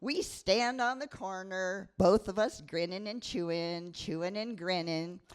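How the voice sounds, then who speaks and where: Woman reading as a bossy, slow-talking small-town girl. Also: mid-range voice, clear, resonant tone, close to an everyday voice. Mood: matter-of-fact storytelling. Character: bossy, bookish nine-year-old small-town girl narrator. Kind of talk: live dramatic story reading.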